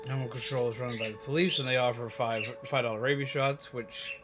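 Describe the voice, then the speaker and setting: A man livestreaming, chatting casually and rambling to viewers in an animated, emphatic, opinionated way. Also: medium pitch, a little fast, over music. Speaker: live streamer. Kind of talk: casual rambling chat with viewers while gaming.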